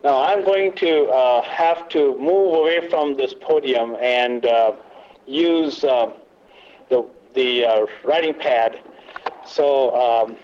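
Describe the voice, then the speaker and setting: A man leading a church workshop talk, loud but not booming, halting with many fillers and quizzing the audience. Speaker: workshop teacher. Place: meeting room, away from the podium. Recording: dull lo-fi recording.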